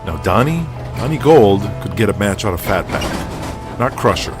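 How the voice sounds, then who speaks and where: Guy playing a young wrestling crew kid, complaining, lowish voice, music under it. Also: very animated, normal speed. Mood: frustrated, questioning. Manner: griping, casual. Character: frustrated, outspoken young nephew on wrestling ring crew. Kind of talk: audio drama dialogue.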